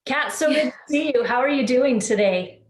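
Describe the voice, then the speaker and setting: A woman's voice: sits high, moderate pace, roomy sound. A woman hosting a home decor podcast, asking upbeat questions and laughing easily in a casual interview.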